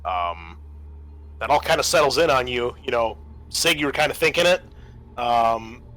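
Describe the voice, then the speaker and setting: A man's voice: mid-range voice, expressive, normal speed, sharp tone. A man acting as game master, narrating and explaining the rules of a tabletop roleplaying game.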